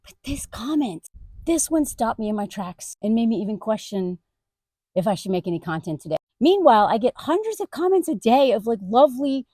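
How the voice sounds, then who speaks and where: A woman content creator ranting straight to camera, emphatic, her voice jumping up high on indignant questions. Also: big pitch swings, fairly quick, dark tone, no room sound. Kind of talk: direct-to-camera rant.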